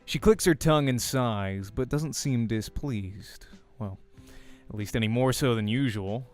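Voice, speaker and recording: Casting: male game narrator, mid-pitched, first-person storytelling with informal asides, faint music bed. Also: a little slow. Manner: informal, breaks off to comment on slips. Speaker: voice actor reading a game.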